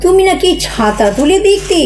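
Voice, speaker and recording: A woman playing a sharp-tongued, bickering wife in a comedy drama, scolding and mocking her husband with theatrical sarcasm. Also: very high-pitched, lively intonation, moderate pace, reverberant. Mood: mock outraged. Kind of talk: Bengali audio comedy play acting.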